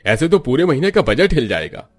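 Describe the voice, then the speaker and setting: A man's voice: medium pitch, big pitch swings, brisk. A man voicing a family man in a cartoon drama, speaking expressively and dramatically. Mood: worried.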